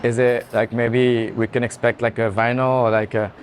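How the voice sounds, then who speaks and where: Male art director, casual and halting, mid-range voice, clear noise of a busy venue. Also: medium pitch, flat delivery. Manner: halting, loosely phrased with frequent "like". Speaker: art institution director on a fair tour. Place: busy art fair hall.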